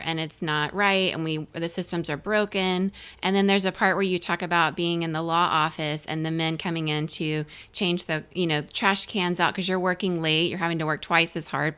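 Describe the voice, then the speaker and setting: Smooth-voiced effusive woman host. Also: fairly low pitch. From Central and South America. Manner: effusive, warm praise, restarts. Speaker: podcast host. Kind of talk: podcast interview.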